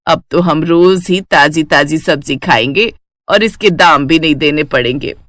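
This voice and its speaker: A woman's voice: low voice, moderate pace, fairly bright tone, no echo, studio quality, pitched below the performer's own voice. A woman voicing a blunt, outspoken elderly mother-in-law in a cartoon story. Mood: delighted, chatty.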